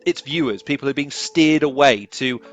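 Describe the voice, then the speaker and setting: A man's voice: medium pitch, moderate pace, dull audio, soft background music. Effusive, swearing man on a headset. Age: 30s. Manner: effusive, swearing, loud outbursts. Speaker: podcast host. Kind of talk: casual video-call interview. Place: small home room. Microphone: headset mic.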